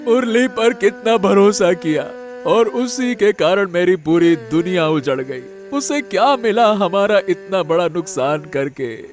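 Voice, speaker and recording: A man's voice: high voice, expressive, unhurried, faint music behind. A man playing a devoted, anguished village father in a cartoon drama, earnest and emotional. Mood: bitter grief. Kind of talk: animated moral story drama.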